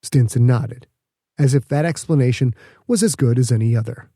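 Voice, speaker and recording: A man's voice: mid-range voice, moderate pace, mellow, no room sound. A man reading scripted fiction as an audiobook narrator in a studio. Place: dry studio.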